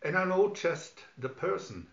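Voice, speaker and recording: Tender motherly owl, played by a man, storybook style, slow, lo-fi recording. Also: high-pitched, very animated, vocal fry, pitched above the performer's own voice, heavily acted. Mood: kindly. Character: kindly motherly female owl, tender and caring. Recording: dull lo-fi recording, roomy echo.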